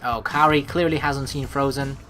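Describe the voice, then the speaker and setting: A woman's voice: very deep voice, steady tone, moderate pace, a bit bright, slight background noise. A young woman hosting a let's play, teasing and slangy as she mixes casual commentary with reading the game's narration aloud. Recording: faint game music behind the voice.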